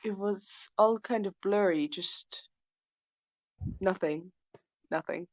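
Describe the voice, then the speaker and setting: A woman's voice: mid-range voice, takes it slowly, no room sound. A woman playing a tabletop game, chatting casually in character with hesitant, fragmented phrasing. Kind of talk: casual in-character improvised chat. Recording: dull, lo-fi microphone sound.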